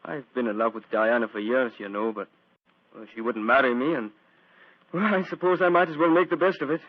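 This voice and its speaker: An actor playing a courteous, resigned, lovelorn gentleman with a hesitant manner, in 1940s radio drama. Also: mid-range voice, steady tone, fairly quick, natural voice. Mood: wistful, resigned. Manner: polite, hesitant, wistful. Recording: dull old radio recording.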